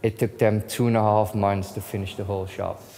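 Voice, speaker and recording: Store owner, man from the Netherlands, low voice, lively casual tour narration over music. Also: brisk. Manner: enthusiastic storyteller, acts out phone calls. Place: inside a large shop.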